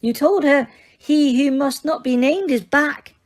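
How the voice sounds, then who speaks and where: A woman voicing a stern, brisk older female professor who speaks crisply and snaps. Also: high-pitched, pitched above the performer's own voice.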